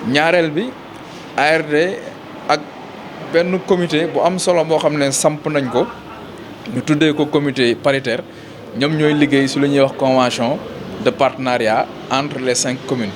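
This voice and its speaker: A man with a Senegalese accent explains things in an interview as a local official, pausing mid-phrase and repeating words as he goes. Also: a little high, fairly even intonation, noisy background.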